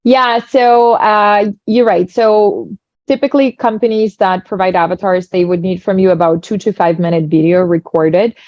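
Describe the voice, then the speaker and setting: Ukrainian-accented woman, mellow dull audio. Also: medium pitch, moderate pace, no room sound. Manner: enthusiastic, explanatory. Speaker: guest, tech founder. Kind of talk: casual interview.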